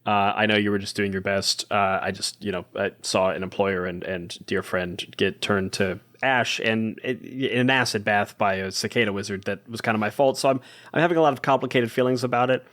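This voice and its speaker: A man's voice: on the low side, steady tone, fairly quick, few pauses, fairly bright tone, lightly acted. Man playing a rattled, kind-hearted adventurer. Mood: shaken, apologetic. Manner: hesitant, informal, soothing.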